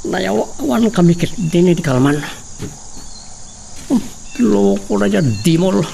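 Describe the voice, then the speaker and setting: A man playing a nervous, scheming manservant in a radio drama, with a gravelly voice. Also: high-pitched, lively intonation, slow pace, distant mic, background noise, exaggerated voice. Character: scheming male servant to the young master.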